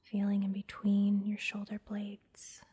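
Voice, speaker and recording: Slow, breathy whispering woman. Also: mid-range voice, monotone. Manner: gentle, soothing, instructing softly with pauses. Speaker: massage therapist and meditation guide, host. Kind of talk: whispered ASMR guided meditation.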